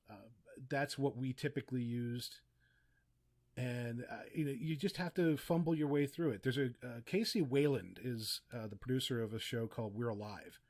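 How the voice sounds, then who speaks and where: A man's voice: medium pitch, a little mellow. Chatty man giving casual interview advice. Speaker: podcast guest, audio drama writer and producer.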